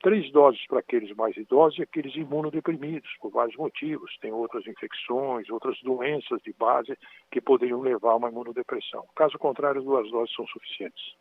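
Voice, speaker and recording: Brazilian doctor explaining over a tinny phone line. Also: male voice, mid-range voice. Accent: Brazilian Portuguese. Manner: measured, explanatory, repeats "então". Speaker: doctor guest. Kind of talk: interview answers.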